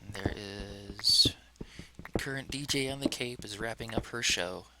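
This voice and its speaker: Low rumbly guy mumbling along while gaming, noisy mic with clicks in the back. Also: measured pace. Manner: relaxed, rambling, talks to himself. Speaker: let's play host. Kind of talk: casual gameplay commentary.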